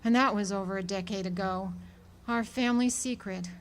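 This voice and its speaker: A woman's voice: mid-range voice, moderate pace, natural voice. A woman playing a guilt-laden, defiant small-town woman in a radio drama, confessing with earnest, dramatic delivery. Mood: bitter. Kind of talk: radio drama acting, 1940s setting. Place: live amateur radio drama performance at an amphitheater.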